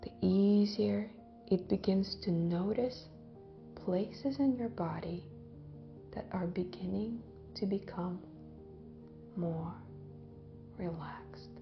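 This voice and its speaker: A woman guides a visualization gently and very slowly, leaving long pauses between short phrases. Her voice has a noticeable creak and softens almost to a whisper as phrases end. The recording is slightly dull. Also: mid-range voice. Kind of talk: guided visualization, soothing hypnotic narration. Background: background music.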